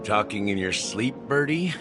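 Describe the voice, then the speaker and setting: An actor playing a smug, playful young schemer in a game, teasing and theatrical. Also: male voice, lowish voice, lively intonation, normal speed, over music, natural voice. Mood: mocking. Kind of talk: scripted game voice acting.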